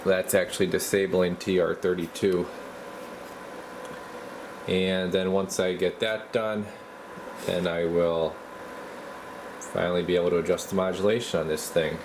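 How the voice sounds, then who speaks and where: A man's voice: low voice, measured pace, long pauses. A man giving a casual, folksy, slangy repair walkthrough as a radio technician. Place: indoor radio repair workshop. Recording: steady clear background noise.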